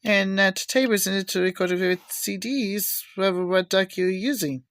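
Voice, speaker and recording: A woman giving a casual, unscripted show-and-tell with halting, rambling delivery. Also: mid-range voice. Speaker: video maker showing cassette tapes.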